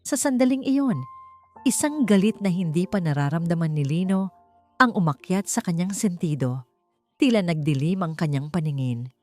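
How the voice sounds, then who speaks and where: A Filipino woman narrates a dramatic audiobook story in a fairly low-pitched voice. She reads from a script in storytelling style, with music behind her. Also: expressive, moderate pace, darkish tone.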